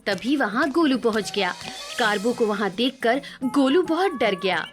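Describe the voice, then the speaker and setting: A woman's voice: on the high side, expressive, measured pace, faint music behind. A woman narrating a scripted children's cartoon story.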